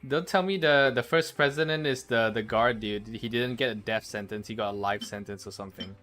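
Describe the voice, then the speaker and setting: A man's voice: medium pitch, brisk, a bit bright. A man livestreaming a game, commenting casually and wryly and reading on-screen text aloud. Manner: casual, wry.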